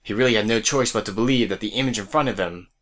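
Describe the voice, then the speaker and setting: A man's voice: fairly low pitch, fast talker, fairly bright tone. A man narrating a scripted audio drama as a storyteller who speaks straight to the listener. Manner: storyteller addressing listeners directly.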